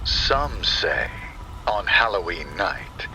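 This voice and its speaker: A man narrating a scripted first-person horror story in a deliberate, dramatic manner. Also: deep voice, big pitch swings, pushed and bright, a little hiss or hum, low-quality recording. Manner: slow, deliberate, dramatic delivery. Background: rain and thunder sound effects.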